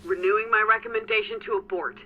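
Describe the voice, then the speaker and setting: An actress plays a cautious, no-nonsense woman monitoring a dangerous operation. Her manner is brisk and businesslike. Prominent music sits under this science fiction audio drama. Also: mid-range voice, fairly even intonation, lo-fi sound, close to an everyday voice. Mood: tense, businesslike. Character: cautious, no-nonsense female technician monitoring a risky heist.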